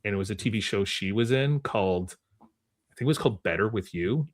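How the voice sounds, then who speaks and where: A man's voice: on the low side, expressive, brisk, no room sound, clean studio sound. A man hosting a film trivia game, chatting casually and keeping score. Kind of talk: casual chat.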